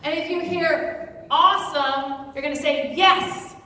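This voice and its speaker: Distant echoey hall, faint noise, high-pitched woman, slow pace, pastor addressing congregation interactively. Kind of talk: interactive talk introducing a story to an audience. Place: large church room.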